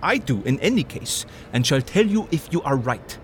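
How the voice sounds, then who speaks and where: An actor playing a fervent, devout older professor who speaks formal, stilted English in an audio drama. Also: male voice, on the high side, very animated, moderate pace, soft background music. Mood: eager. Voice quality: old-fashioned, stilted foreign-sounding phrasing. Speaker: vampire hunter professor.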